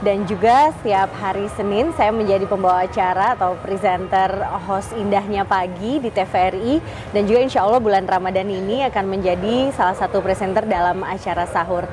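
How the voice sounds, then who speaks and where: A twenty-nine-year-old woman speaking in a measured, earnest way in an interview. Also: mid-range voice, background noise. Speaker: interviewee, TV presenter and PR officer. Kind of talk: personal testimony interview.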